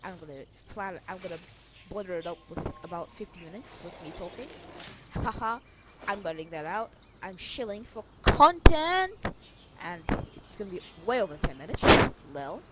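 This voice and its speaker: A woman's voice: on the low side, very animated, normal speed, heavy background noise. A young woman giving casual gameplay commentary, slangy and rambling as she thinks aloud. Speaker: gaming video creator. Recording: loud game music behind voice.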